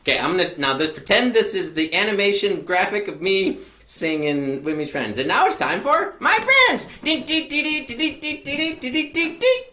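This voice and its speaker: A woman's voice: mid-range voice, expressive, normal speed, few pauses, sharp tone. A young woman hosting a children's show, bubbly and chatty, laughing often and rambling with fillers. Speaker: host of a children's library show.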